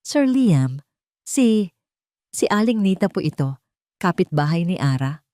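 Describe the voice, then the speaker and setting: A woman voicing an anxious older female neighbor pleading over the phone in a trembling, halting, worried voice for an audio story. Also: on the low side, big pitch swings, dark tone, no room sound, studio quality. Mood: hesitant, anxious.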